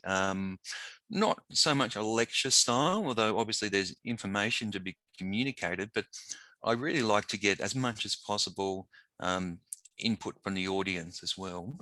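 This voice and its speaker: A man presents a webinar in a relaxed, conversational way, pausing often and filling gaps with ums. His voice is low and somewhat bright, with a slight croakiness. The sound is dry and close, like a video call. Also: no room sound. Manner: informal, conversational, with frequent ums and restarts. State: slightly hoarse. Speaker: webinar presenter, teacher of the deaf.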